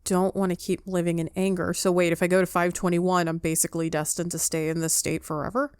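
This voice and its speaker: A woman's voice: lowish voice, flat delivery, quick pace, a little mellow, clean tone, no room sound. A woman giving casual let's play commentary as she plays, reacting and talking back to the game, close to the mic. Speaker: gaming video host, player.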